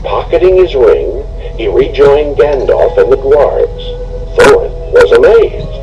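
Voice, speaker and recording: A man reading a storybook aloud with expressive, dramatic narration, on a noisy home recording. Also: medium pitch, slow, lo-fi sound. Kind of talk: scripted storybook read-along narration.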